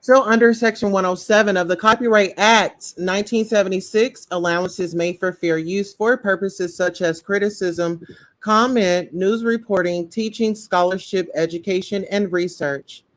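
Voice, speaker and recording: A woman's voice: mid-range voice, fairly even intonation, moderate pace. A woman hosting a live-stream commentary show, outspoken and talking straight to her chat viewers. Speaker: livestream commentary host.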